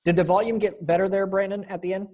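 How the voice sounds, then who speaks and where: A man's voice: high voice, level tone, fast talker, darkish tone. A man chatting casually as he hosts a rehearsal over a video call and sorts out the sound. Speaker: rehearsal host running sound and screen share. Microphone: computer microphone on a video call.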